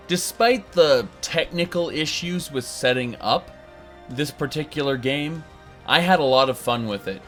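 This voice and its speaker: A man's voice: medium pitch, fairly bright tone. Chatty male game reviewer. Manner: enthusiastic, chatty. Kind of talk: casual video review.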